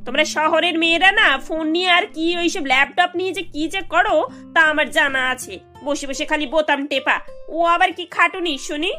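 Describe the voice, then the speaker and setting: A cartoon old village aunt with an extremely high, sharp voice. She nags and complains sarcastically, demanding and hard to please, over faint music. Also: moderate pace. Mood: sarcastic, dismissive. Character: scolding, demanding elderly village aunt-in-law. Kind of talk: animated cartoon dialogue.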